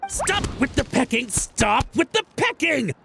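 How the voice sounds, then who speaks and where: A man's voice: very high voice, very animated, a little slow, gravelly, a bit bright, soft background music, heavily acted. A male actor playing a nervous, obsequious schemer in a game, flustered and theatrical. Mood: desperate pleading. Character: nervous, obsequious schemer, pleading and haughty by turns.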